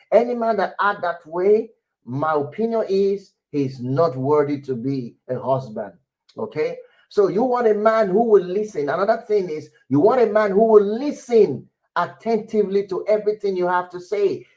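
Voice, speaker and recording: A middle-aged man with a loud, airy voice, giving relationship advice to women in an emphatic way. He repeats his points and keeps checking in with his listeners. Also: a little high, poor audio.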